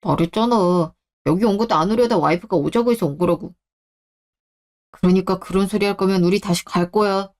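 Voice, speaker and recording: A young man voicing a protective husband in a radio drama, speaking in a low, firm and steady way. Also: brisk, natural voice. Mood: irritated. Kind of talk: Korean radio drama acting.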